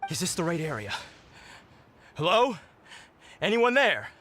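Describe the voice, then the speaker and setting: A man's voice: high-pitched, big pitch swings, unhurried, pushed and bright, slight background noise, exaggerated voice. A man voicing an earnest, uncertain young tribesman in a video game, hesitant and questioning. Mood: puzzled, searching.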